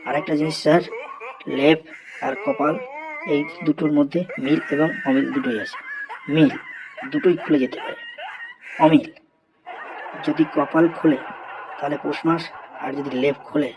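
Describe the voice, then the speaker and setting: A man's voice: a little high, steady tone, moderate pace, long pauses, highs cut off, crowd noise, natural voice. A man voicing a cheeky, long-winded schoolboy in a comedy cartoon, reciting earnestly and respectfully. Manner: earnest classroom recitation, deferential, rambling.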